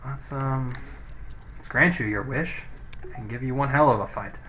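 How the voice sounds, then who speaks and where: A man's voice: medium pitch, measured pace, a bit bright, slight background noise. A man giving casual let's play commentary, thinking aloud and reading game text, on a poor-quality microphone. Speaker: gaming let's play host. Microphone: low-quality microphone.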